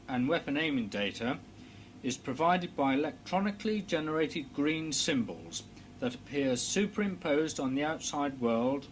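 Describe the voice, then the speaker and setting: A man reading a scripted technical instructional narration in a formal, measured, precise explanatory manner. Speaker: narrator of a technical training film. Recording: clear background noise with low hum.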